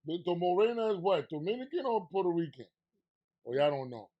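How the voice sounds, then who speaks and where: A man with a New York accent hosts a laid-back interview in a fairly high voice. He swings from hyped, loud excitement to a low, confiding tone, talking loose and street-casual. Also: fairly quick, lo-fi sound. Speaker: podcast host and DJ.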